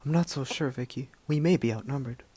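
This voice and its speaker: A man playing a cautious, gruff police commissioner with a husky voice in an amateur superhero radio play. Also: medium pitch, a little fast, mellow, no room sound, close to an everyday voice. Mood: wary, then commanding.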